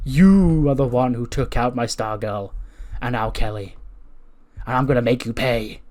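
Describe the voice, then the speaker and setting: A man's voice: mid-range voice, moderate pace, darkish tone, lightly acted. A man acting out an angry, menacing female wrestler who interrogates and threatens with accusing questions. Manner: confrontational, accusing, clipped questions. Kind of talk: acted-out backstage wrestling confrontation.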